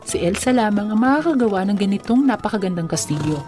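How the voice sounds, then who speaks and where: A woman narrates a children's fairy tale with a mellow, soft-edged tone. Her pitch sits in the middle, and music plays behind her. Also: animated, fast talker. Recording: music under the voice. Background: background music.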